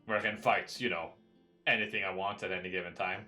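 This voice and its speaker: A man's voice: low voice, fairly even intonation, quick pace, pushed and bright. A man hosting a casual let's play, chatting, laughing and reading game text aloud. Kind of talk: casual gameplay commentary. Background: game music.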